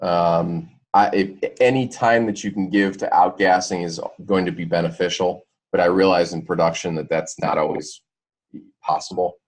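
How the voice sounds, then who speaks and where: A man with a deep voice presents a product on a conference call. His delivery is informal and halting, with hedges and reworked phrases. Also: fairly even intonation.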